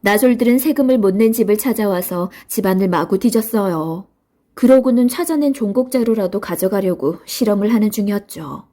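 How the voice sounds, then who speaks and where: A woman's voice: medium pitch, lively intonation, a little fast, dark tone. A woman narrating a children's storybook in a scripted read-aloud style.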